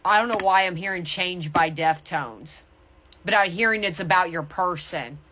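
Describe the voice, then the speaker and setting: A woman with a slightly low voice delivers an intuitive tarot reading straight to her audience. She speaks in a candid, conversational way, dropping in emphatic asides as she goes. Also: moderate pace, pushed and bright. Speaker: tarot reader. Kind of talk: casual intuitive reading addressed to viewers.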